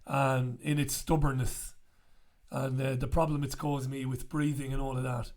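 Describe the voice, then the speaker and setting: A man in his forties, croaky after an illness, chatting bluntly and casually to camera as a show host in a small room with a studio mic. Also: mid-range voice, little pitch movement, moderate pace, a little mellow. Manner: chatty, blunt, swears freely. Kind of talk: casual direct-to-camera chat. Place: small bright room indoors. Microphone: studio mic on stand in front.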